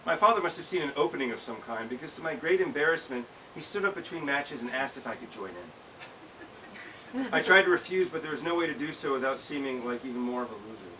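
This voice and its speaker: Bright-voiced American man reading, recorded at distance. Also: medium pitch, expressive, fast. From United States. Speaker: author reading from own work. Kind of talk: live reading of a personal essay. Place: small bookshop event, indoors. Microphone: camera several feet away. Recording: distant mic, hissy background noise.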